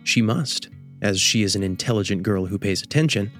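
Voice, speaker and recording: A man's voice: fairly low pitch, fairly quick. A man reading scripted radio drama narration in a storytelling manner. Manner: storytelling, posing rhetorical questions. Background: soft plucked guitar music.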